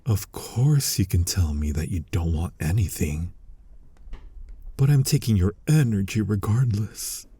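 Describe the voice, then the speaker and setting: Husky, slow-talking flirty incubus demon played by a man. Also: on the low side, warm tone, no echo, heavily acted. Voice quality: husky, breathy, often dropping to a whisper. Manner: slow, sultry, teasing, addressing listener directly. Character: flirty, teasing, dominant male incubus demon seducer. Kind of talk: intimate ASMR roleplay. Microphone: close mic, dry.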